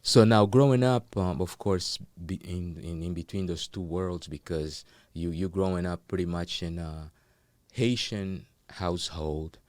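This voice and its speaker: Male host with a very deep voice, full of encouraging affirmations, dry close sound. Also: measured pace, a little mellow, dry recording. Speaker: show host. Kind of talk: interview.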